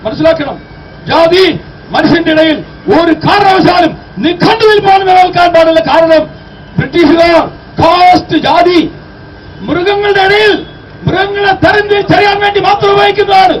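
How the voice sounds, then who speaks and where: Guy w doing a fiery street speech, really high strained pitch, bit distant, faint traffic noise. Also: steady tone, moderate pace. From Kerala, India. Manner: declamatory, exhorting, rhetorical questions. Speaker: activist, public speaker. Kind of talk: impassioned public address. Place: outdoor street rally.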